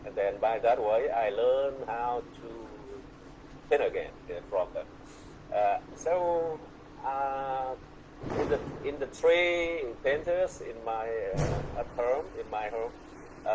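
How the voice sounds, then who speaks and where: A man's voice: mid-range voice, background noise. A middle-aged man with a Vietnamese accent, a guest poet answering on a video call, hesitant and full of fillers and restarts. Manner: slow, hesitant, many fillers and repeats. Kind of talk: relaxed conversational interview.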